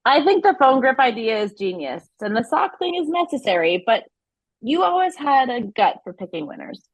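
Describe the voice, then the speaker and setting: A woman playing a gentle, tentative mother and soon-to-be ex-wife in an audio play, speaking haltingly. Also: a little high, very animated, dry recording, low-quality recording. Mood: fond.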